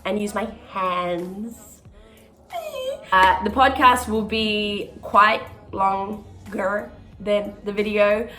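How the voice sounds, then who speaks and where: A young woman, a touring musical theatre performer talking earnestly to camera in a casual vlog, often pausing on "um". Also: mid-range voice, steady tone, pushed and bright, soft background music. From born in South Africa, raised in New Zealand. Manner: earnest and chatty, with frequent ums.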